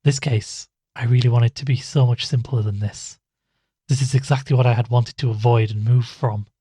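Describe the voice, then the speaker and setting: A man's voice: medium pitch, steady tone, moderate pace, mellow, breathy edge, dry recording, natural voice. A man playing an uneasy, wryly weary investigator in an audio drama, speaking hesitantly. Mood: weary, reluctant. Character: uneasy male investigator narrating his case, reluctant and wry. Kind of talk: audio drama dialogue and first-person narration.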